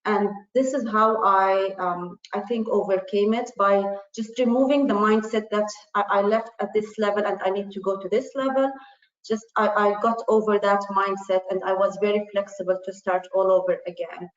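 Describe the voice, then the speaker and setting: A woman's voice: mid-range voice, fairly even intonation, moderate pace. A woman whose first language is Arabic, speaking earnestly as a panelist with many fillers and restarts. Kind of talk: panel discussion. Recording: muffled, roomy.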